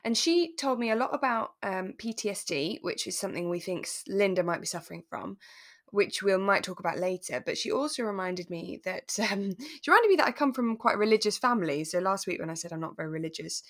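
A woman co-hosting a podcast in relaxed, casual conversation. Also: medium pitch, brisk. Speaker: podcast co-host.

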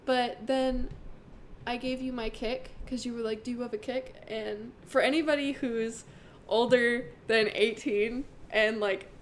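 A woman's voice: a little high, steady tone, a little slow, slight background noise. A nineteen-year-old woman chatting casually in a playful, giggly, teasing way, as co-host bantering with her husband. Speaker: co-host introducing herself.

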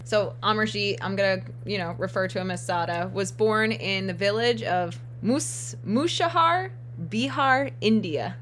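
A woman's voice: medium pitch, expressive, measured pace, a bit bright, slight background noise. A young woman co-hosting a casual true-crime podcast. She teases her co-host, giggles a lot and stumbles over words while reading her notes.

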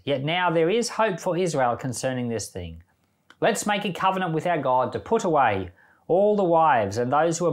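A man reading scripture aloud for a Bible podcast in a measured, deliberate way, as a pastor would. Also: on the high side.

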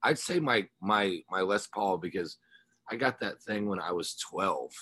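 A man's voice: low-pitched, animated, a little slow, fairly bright tone, husky, no echo. A man being interviewed as a musician, chatting informally and telling rambling anecdotes. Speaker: musician interview guest, rock singer and guitarist. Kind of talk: casual chat, telling anecdotes.

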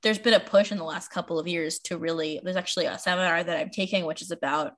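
A woman's voice: lowish voice, steady tone, quick pace. An American woman from Washington, D.C., chatting casually as a podcast host and librarian, with rambling, self-deprecating asides. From Washington, D.C., United States. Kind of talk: casual chat.

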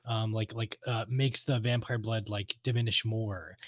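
A man's voice: fairly low pitch, fairly even intonation, unhurried, no room sound, clean studio sound. A man co-hosting a podcast, chatting informally and with enthusiasm about games. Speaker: podcast co-host. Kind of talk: casual chat about games and radio plays.

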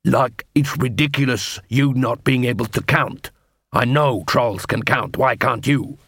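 A man voicing a grumbling dwarf watchman with a deliberate, instructive way of talking in a fantasy story. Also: mid-range voice, fairly bright tone, breathy edge, no echo, studio quality. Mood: grudging.

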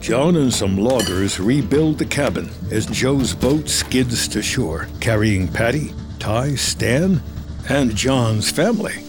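A man narrates a screenplay in a slow, deliberate voice at a middling pitch. His delivery is dramatic, reading action in short present-tense phrases, with clear music playing behind him. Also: animated. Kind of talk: scripted film narration, reading stage directions in present tense.

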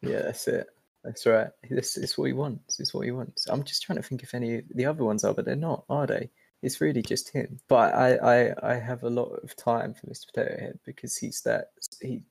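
A man's voice: mid-range voice, creaky, slightly rough. A man co-hosting a podcast in casual, joking chat, laid-back and agreeable, often repeating his words. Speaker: podcast co-host.

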